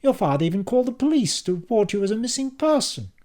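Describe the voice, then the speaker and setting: A shrill, theatrical mother who scolds her grown son and soars near soprano when upset, as a male narrator puts her on. Her pitch swings all over the place in a storybook reading. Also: sing-song, quick pace, a little mellow. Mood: scolding. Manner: high, dramatic, scolding.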